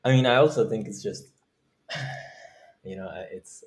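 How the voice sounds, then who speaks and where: A man from Norway speaking casually as an interview guest, halting as he thinks aloud. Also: on the low side. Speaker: guest, startup founder.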